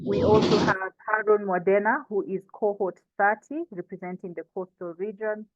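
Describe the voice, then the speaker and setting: A woman's voice: mid-range voice, little pitch movement, moderate pace, a little hiss or hum. A woman with a Kenyan accent, informally hosting and moderating an online session and introducing panelists. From coastal Kenya. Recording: thin phone-like online call audio.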